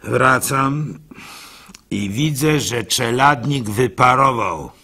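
A man performing a first-person literary monologue for radio as narrator, in an expressive, folksy, conversational manner. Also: mid-range voice, slow pace. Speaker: narrator and storyteller.

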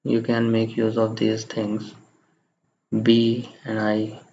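Guy doing a screencast tutorial, slow and plain, bit of creak in his voice, muffled lo-fi mic. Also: fairly low pitch, monotone, a little mellow. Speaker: tutorial presenter. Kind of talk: software screencast tutorial, step-by-step narration. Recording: muffled lo-fi microphone, faint background noise.

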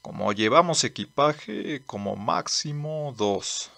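Gravelly, gruff older traveller, acted by a man. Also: mid-range voice, expressive, takes it slowly, bright, forceful tone, full character voice. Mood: practical. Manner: firm, matter-of-fact, instructing. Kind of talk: amateur manga dub acting.

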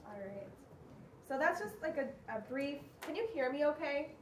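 A woman's voice: sits high, expressive, moderate pace, soft background music. A woman giving an informal workshop presentation to an audience in a large room. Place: large conference room, distant camera without microphone. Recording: echoey, distant, noisy room.

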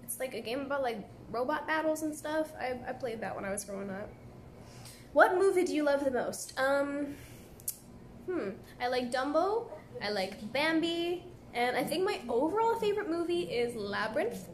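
Young woman, slightly high voice, laid-back chatty live stream, phone at arm's length, faint café hum. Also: expressive, moderate pace. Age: young adult. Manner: chatty, laid-back, heavy use of "like" fillers. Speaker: live streamer answering viewer comments. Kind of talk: casual live stream chat. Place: indoor café at night.

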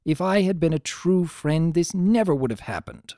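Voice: mid-range voice, lively intonation, moderate pace, mellow, dry recording, studio quality, pitched above the performer's own voice, natural voice. A narrator voicing a theatrical, contrite male chaos creature with a formal, courtly manner.

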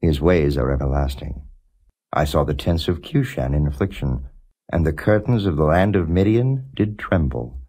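A man giving a non-dramatized scripture reading as a narrator, in a measured, solemn and formal way. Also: a bass voice, lively intonation, warm tone, breathy edge. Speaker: Bible reader, audiobook narrator.

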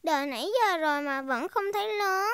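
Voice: sits high, a little fast, pushed and bright, no echo. An actor voicing an eager, polite little girl pig in a dubbed preschool cartoon. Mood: impatient. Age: child character. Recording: music under the voice.

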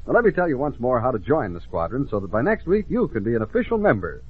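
A man's voice: medium pitch, sing-song, quick pace, husky, narrow, tinny audio. An American man announcing on a 1950s children's radio show. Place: radio studio.

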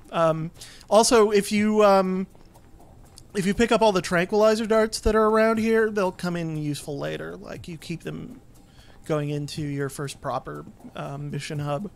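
Male game commentator, slightly high voice, casual and explanatory, pauses mid-thought. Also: steady tone, creaky.

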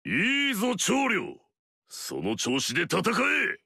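A man's voice: sits high, huge pitch swings, slow, creaky, bright, forceful tone, slightly rough, no echo, clean studio sound, full character voice. An actor playing an arrogant, fierce male warlord in a video game, swaggering and barking battle cries.

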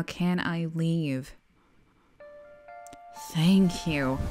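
A woman's voice: lowish voice, darkish tone. A woman playing a remorseless, sarcastic criminally insane asylum patient in a dramatic audio roleplay, theatrical and taunting. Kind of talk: ASMR-style dramatic monologue roleplay.

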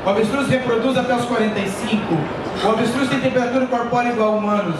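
A man's voice: very high-pitched, fairly even intonation, quick pace. A man giving an earnest presentation on a conference stage. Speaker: company representative. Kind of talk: presentation speech. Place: large conference hall stage. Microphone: handheld mic, far camera. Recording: echoey hall from far off, noisy.

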